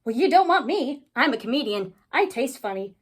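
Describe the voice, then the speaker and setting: Giggly ghoul schoolgirl comedian, read by a woman: high, lively swoops, playful punchlines, storybook style. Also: normal speed, husky, exaggerated voice. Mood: cheeky. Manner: punchy, playful joke delivery. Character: joke-cracking, giggly young girl ghoul, cheeky schoolkid comedian.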